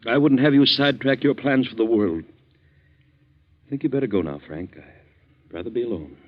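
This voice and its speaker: An actor playing a well-to-do, easily shaken husband with an American accent in a 1940s radio drama, earnest and halting. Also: male voice, mid-range voice, lightly acted. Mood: subdued. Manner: earnest, halting when troubled. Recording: muffled 1940s radio recording.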